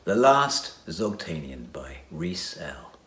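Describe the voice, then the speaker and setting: A man narrating and introducing a children's story in a measured, announcing way. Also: very deep voice, very animated, a bit bright. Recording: roomy, echoey sound.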